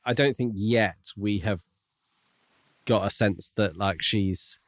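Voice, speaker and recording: A man's voice: fairly low pitch, slow, no echo. A man co-hosting a podcast, talking casually about books with thoughtful, tentative phrasing. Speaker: podcast co-host. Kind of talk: casual book discussion.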